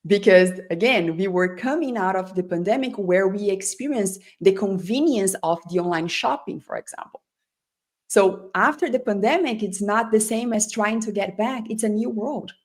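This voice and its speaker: A woman's voice: medium pitch, normal speed. A woman who is not a native English speaker, hosting a conversational online talk show in an effusive, emphatic way, often checking in with the listener. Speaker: host and customer experience leader.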